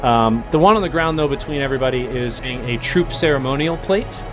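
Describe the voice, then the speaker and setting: Man, game master, hesitant narration, many fillers, mid pitch, faint music behind. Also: fairly quick, low-quality recording. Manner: hesitant, many ums and uhs.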